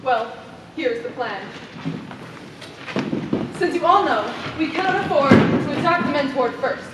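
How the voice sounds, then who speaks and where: A woman acting in a live stage play as an imperious, scheming committee president, making pompous, deliberate speeches. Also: high-pitched, lively intonation. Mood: smooth, condescending. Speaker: stage actor. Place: indoor theater stage, recorded from afar. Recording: echoey theater hall, distant mic, faint noise.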